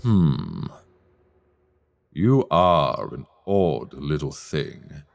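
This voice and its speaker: Man acting a deep, slow, menacing sorcerer professor. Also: big pitch swings, heavily acted. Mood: dry, haughty. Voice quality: low, creaky. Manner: deliberate, condescending, silky, teasing, formal diction. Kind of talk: slow dark fantasy ASMR roleplay acting. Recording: long cavernous reverb.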